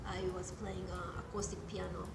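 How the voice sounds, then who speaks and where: A woman with a Japanese accent, a guest jazz pianist, talking casually in an interview and pausing often on ums and uhs. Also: lowish voice, flat delivery, creaky, noisy background. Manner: hesitant, many ums and uhs. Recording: echoey room with music behind.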